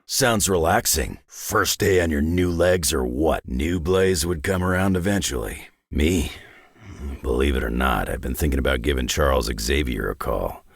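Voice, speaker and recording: A man playing a gruff, world-weary, sardonic middle-aged superhero brawler in a video game, with a raspy growl and a blunt, wry drawl. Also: extremely low pitch, normal speed, no room sound, clean studio sound, heavily acted. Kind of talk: video game character voice lines.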